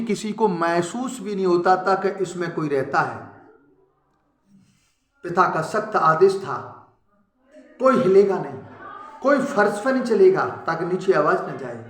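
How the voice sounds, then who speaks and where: A man with a high-pitched voice teaches a class by retelling a story, animated and full of sudden emphatic rises and restarts. His voice rings in an echoey room. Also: measured pace. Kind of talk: Hindi lecture retelling a story for students.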